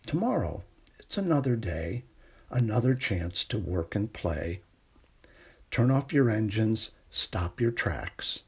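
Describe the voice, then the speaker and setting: A middle-aged man reading a children's picture book aloud in a gentle, unhurried bedtime manner, with a husky, creaky voice. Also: lowish voice, very animated. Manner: gentle, unhurried bedtime delivery with hushed refrains. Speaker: storytime reader.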